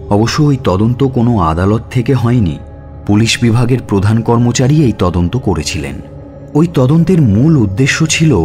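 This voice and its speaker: A man narrating a scripted suspense story for radio in a measured, dramatic storytelling manner. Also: fairly low pitch, darkish tone. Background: music.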